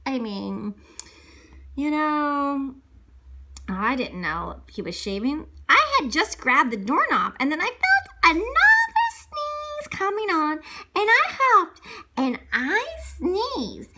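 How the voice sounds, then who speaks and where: A woman's voice: high voice, wildly swooping pitch, slow pace, pushed and bright, full character voice. A woman voicing a chatty, self-excusing male big bad wolf who tells a children's storybook in character, full of rambling asides and sly mock innocence. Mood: sly, mock innocent. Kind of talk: animated read-aloud children's storybook, told in character.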